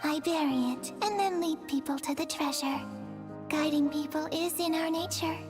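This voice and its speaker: Casual breezy ex-angel woman doing it, high voice, game music under it. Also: moderate pace, close to an everyday voice. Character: former angel turned author, laid-back and cheeky.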